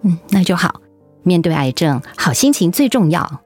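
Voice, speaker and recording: A gentle, earnest woman who has come through illness and comforts a colleague. Her voice sits on the low side, reassuring and sincere, in a radio drama with music behind her. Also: very animated, moderate pace. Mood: gentle, encouraging. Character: caring older female colleague who survived breast cancer.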